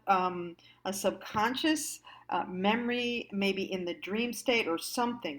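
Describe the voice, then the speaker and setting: Slow-talking American woman, musing and halting. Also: medium pitch. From United States. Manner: halting, musing, emphatic on key words. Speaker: host of a personal storytime show. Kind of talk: reflective monologue to an audience.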